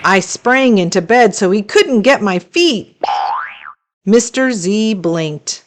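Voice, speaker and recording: A woman narrating a children's story as a read-aloud host, expressive and deliberate. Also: mid-range voice.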